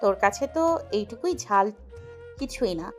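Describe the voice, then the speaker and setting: A woman's voice: mid-range voice, lively intonation, measured pace, darkish tone, faint music behind, natural voice. A woman with a Bangladeshi accent playing an affectionate, playful young wife in an audio love story, cooing and teasing.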